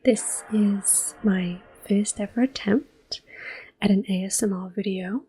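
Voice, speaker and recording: A woman giving soft-spoken ASMR gameplay commentary in a gentle, hushed, breathy voice that often drops into a whisper. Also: mid-range voice, fairly even intonation, slow pace, poor audio. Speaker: gaming video host.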